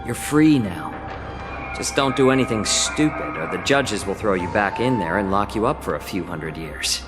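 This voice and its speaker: A man voices a composed fox-folk envoy for a video game, with a lowish voice and polite, formal phrasing. He has a dry, teasing edge. The sound rings in a large hall, with prominent music underneath. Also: lively intonation, normal speed, lightly acted. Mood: dry, cautioning.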